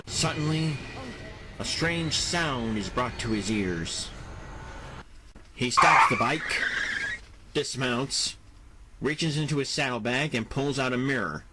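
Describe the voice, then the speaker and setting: A man's voice: mid-range voice, slow pace, long pauses, noisy background. A man narrating a scripted action audio drama as its storyteller. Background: music.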